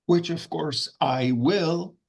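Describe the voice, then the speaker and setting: Pompous churchy guy played by a man, really slow talker, husky, formal. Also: on the high side, darkish tone, full character voice. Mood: smug. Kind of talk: comedy script table read.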